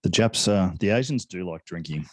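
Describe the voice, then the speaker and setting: A man from Hong Kong co-hosting a sports podcast, chatting casually in a measured, understated way. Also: deep voice, animated, no echo.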